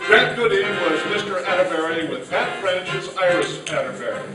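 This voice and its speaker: A man's voice: high voice, animated, normal speed. A man formally reading scripted closing credits as an old-time radio announcer. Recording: echoey hall from far off, loud music. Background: music playing behind the voice.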